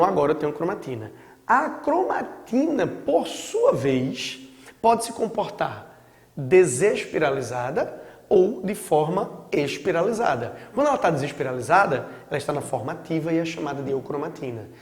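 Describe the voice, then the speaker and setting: Hoarse Brazilian teacher in an echoey room. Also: male voice, on the high side, sing-song, moderate pace. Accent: Brazilian Portuguese. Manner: chatty, with frequent check-in tags. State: hoarse from a sore throat. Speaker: biology teacher. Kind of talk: casual recorded lecture. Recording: echoey room with long reverb.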